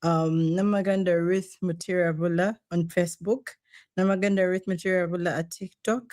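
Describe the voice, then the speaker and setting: A woman from Uganda answering an interview question in a measured, casual way, with some um fillers. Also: on the low side, steady tone, a little mellow, no echo, studio quality. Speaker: guest.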